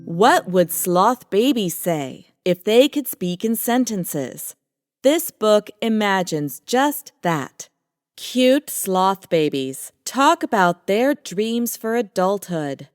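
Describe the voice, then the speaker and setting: A woman narrating a children's book in a deliberate, expressive read-aloud style. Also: medium pitch, clean studio sound. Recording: long hall reverb, music underneath. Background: music.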